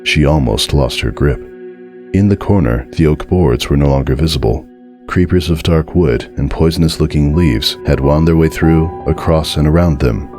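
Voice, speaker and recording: A man giving a dramatic, scripted narration of a horror story in a measured, deliberate and suspenseful way. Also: a bass voice, a little mellow, faint music behind.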